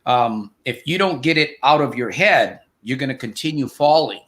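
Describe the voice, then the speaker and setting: Host type, fifties, Connecticut lilt, easygoing coach who talks things through. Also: male voice, mid-range voice, animated, moderate pace, fairly bright tone. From Connecticut, USA. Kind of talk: casual interview chat.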